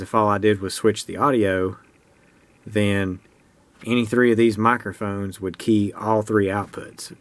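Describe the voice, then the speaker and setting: A man's voice: fairly low pitch, a bit bright. An American man giving a casual, unscripted hands-on explanation of a homemade project in a plain-spoken, folksy way. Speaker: hobbyist presenter demonstrating a homemade radio project.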